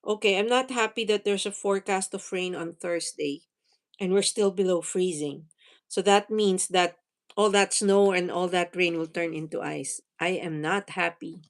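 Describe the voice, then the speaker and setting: A woman in her forties from the Philippines, a native Tagalog speaker, hosting a casual livestream, reading comments aloud and laughing often. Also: medium pitch. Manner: chatty, laughs often, thinks aloud with fillers. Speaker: livestream host.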